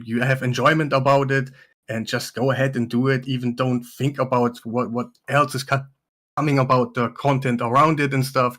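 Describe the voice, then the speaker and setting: Halting man giving upbeat advice. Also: medium pitch, a bit bright. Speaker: content creator guest. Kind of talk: casual panel chat.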